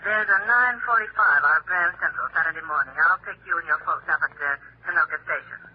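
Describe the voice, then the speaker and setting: A woman's voice: low-pitched, brisk, slightly rough. An actress playing a breezy, sly woman scientist of fifty in 1930s radio mystery style. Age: 50s. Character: breezy, sly fifty-year-old female physicist. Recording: dull phone-like 1930s radio recording.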